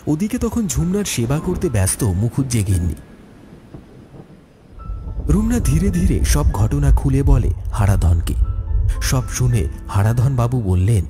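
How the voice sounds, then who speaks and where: A man narrating a scripted horror story in an expressive storytelling manner. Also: medium pitch, measured pace, pauses often. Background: music.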